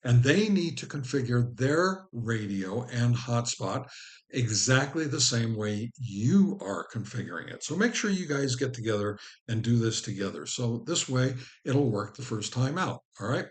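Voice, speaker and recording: A man with a mid-range voice hosts a how-to tutorial. He explains each step informally and speaks straight to the viewer as he instructs. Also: medium pitch. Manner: instructional, addresses the viewer directly. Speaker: host of a ham radio how-to channel.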